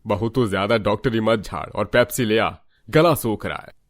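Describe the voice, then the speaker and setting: Older father-in-law, acted by a man, low voice, grumbling and dismissive, animated family tale. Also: moderate pace, slight background noise, close to an everyday voice.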